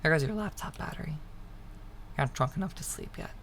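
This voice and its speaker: A woman reading a visual novel aloud as dramatic narration, with hushed, breathy phrase endings. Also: sits low, huge pitch swings, fairly quick, a little hiss or hum. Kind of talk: dramatic second-person story narration.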